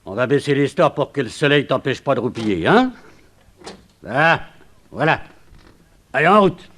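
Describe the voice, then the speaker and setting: An actor playing a rough, mockingly sweet cabman and kidnapper in a colloquial, sneering way, in a 1960s French radio play. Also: medium pitch, expressive, normal speed, a bit bright. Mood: mocking menace. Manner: colloquial, falsely obliging, sneering.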